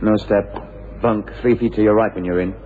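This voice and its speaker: An actor playing an attentive, inquisitive detective's sidekick in an old radio drama. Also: male voice, on the low side, fairly even intonation, moderate pace, close to an everyday voice. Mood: brisk, helpful. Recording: tinny, hissy old radio recording.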